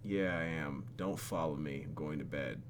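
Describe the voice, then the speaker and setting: A man hosting a let's play, reading game text aloud and adding sarcastic, animated asides. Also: a bass voice, normal speed, slight background noise. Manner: animated, sarcastic, exclaims and mutters. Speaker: YouTube gaming host.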